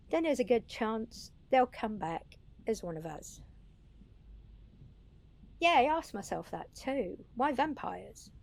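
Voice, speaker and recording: A woman's voice: mid-range voice, darkish tone. Woman playing a casual, wry vampire mentor. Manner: chatty, informal explaining with occasional swearing. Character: centuries-wise female vampire, casual, confident, wry mentor. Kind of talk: intimate audio roleplay acting, addressing one listener.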